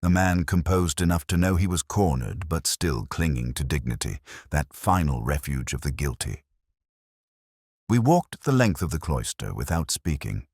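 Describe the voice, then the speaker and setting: A man narrating a mystery drama as a brooding, reflective detective inspector, in a measured, solemn and literary delivery. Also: extremely low pitch, animated, darkish tone, husky, no echo, clean studio sound, full character voice. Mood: reflective, sombre. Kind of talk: scripted first-person mystery narration.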